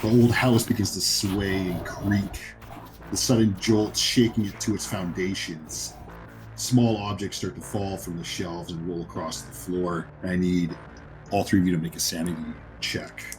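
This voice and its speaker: A man's voice: deep voice, steady tone, measured pace, low-quality recording. A man working as game master, narrating a horror tabletop roleplaying game in a conversational, descriptive way. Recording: music behind the voice. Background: music.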